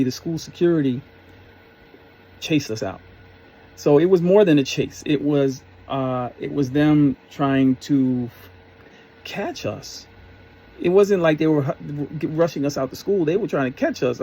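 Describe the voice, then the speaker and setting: A man's voice: on the high side, moderate pace, warm tone, low-quality recording. A middle-aged man telling a personal story in a casual, rambling, reflective way. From New York area, US. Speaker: storyteller, former concert promoter.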